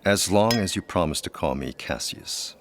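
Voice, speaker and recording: An actor playing a suave, calculating aristocratic gentleman in a gothic audio drama, speaking in courtly, formal phrases. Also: low voice, expressive, moderate pace. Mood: gallant.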